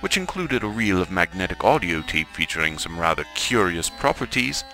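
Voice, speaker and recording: A man's voice: low voice, sing-song, normal speed, sharp tone. A man narrating in a formal, portentous, mock-serious old-time radio announcer style. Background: music.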